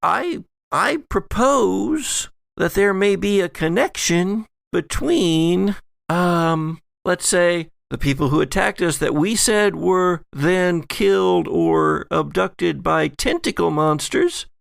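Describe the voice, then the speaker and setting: A man's voice: high voice, animated, slow pace, vocal fry, no echo, studio quality, pitched above the performer's own voice, full character voice. A man voicing a wealthy, upset woman who speaks formally and pointedly in a tabletop audio drama. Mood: insistent, displeased. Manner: polite, pointed, formal wording.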